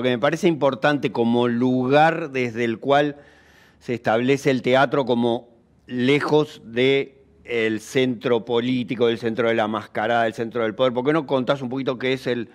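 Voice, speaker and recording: A man's voice: mid-range voice, smooth. A man with a Rioplatense Argentine accent interviewing reflectively, searching for his words and checking for agreement with tag questions. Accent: Argentine Rioplatense Spanish.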